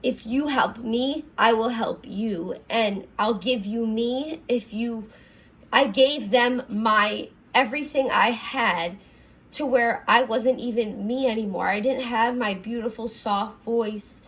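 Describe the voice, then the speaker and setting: A young woman with a raspy voice, talking earnestly in a rambling confessional vlog from her apartment, full of fillers and restarts. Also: a little high, takes it slowly, fairly bright tone, rough recording. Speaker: vlogger.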